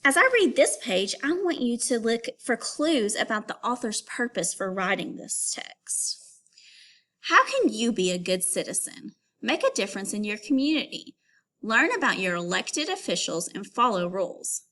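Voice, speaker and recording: A woman teacher reading an informational text aloud to young children, pausing to give instructions and put questions to them. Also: a little high, sing-song, bright, forceful tone.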